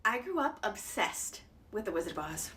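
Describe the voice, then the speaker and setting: A woman delivering a theatrical dramatic monologue in an emotive, emphatic way, swinging between soft and loud. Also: medium pitch, big pitch swings, normal speed. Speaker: actor performing monologues. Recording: distant mic with clear background noise.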